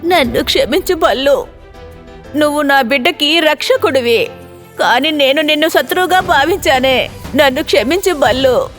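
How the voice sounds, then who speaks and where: A woman voicing a protective village mother in an animated story, speaking with strong emotion. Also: high voice, normal speed. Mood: remorseful. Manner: emphatic, emotional delivery. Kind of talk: cartoon voice acting. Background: faint background music.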